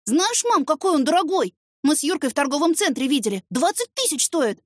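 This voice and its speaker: A woman voicing an energetic young son in an audiobook, earnest and sulky in a childlike way. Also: sits high, fairly quick, no echo, studio quality, voice pushed up. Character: energetic young red-haired son, loud, sulky, candid.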